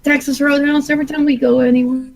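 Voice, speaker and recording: Woman, fairly high voice, casual chat, blunt, jokey. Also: flat delivery, quick pace, gravelly, a little mellow.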